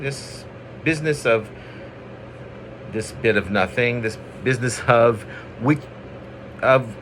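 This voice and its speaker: A man's voice: medium pitch, a bit bright, a little hiss or hum. A man reading his own poems in an informal, rambling way, with self-deprecating asides and playful drama. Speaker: poet reading own work.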